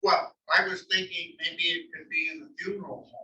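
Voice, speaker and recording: An older man chatting casually in a halting, jovial way. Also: a little high, flat delivery, heavy background noise. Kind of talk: casual, joking chat. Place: library event room. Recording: echoey room, distant mic.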